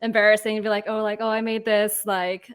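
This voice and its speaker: A woman's voice: mid-range voice, level tone, a little fast, smooth. A woman moderating a panel in casual, informal chat, often acting out imagined remarks.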